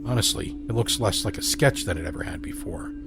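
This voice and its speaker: A man narrating an audio drama with a slow, soft, measured reading. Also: on the low side. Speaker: narrator and host. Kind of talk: scripted narration. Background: music score.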